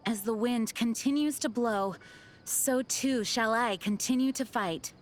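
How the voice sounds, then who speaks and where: A woman voicing an earnest, dutiful young knight commander in a video game, speaking in a formal, measured way. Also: on the high side, faint music behind, lightly acted. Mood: earnest resolve. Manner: formal, measured, solemn diction. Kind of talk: video game character voice lines.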